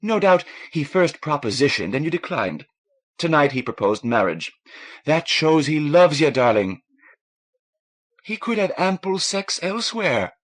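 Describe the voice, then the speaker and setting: A man voicing a warm, frank Irish woman, a ship's doctor, in a lilting, coaxing manner for an audiobook. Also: medium pitch, voice pushed up. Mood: encouraging, frank.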